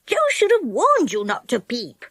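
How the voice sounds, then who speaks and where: A woman voicing an angry little male pixie who shouts in a shrill rage. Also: huge pitch swings, a little slow, dry recording, clean studio sound, heavily acted. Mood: sheepish.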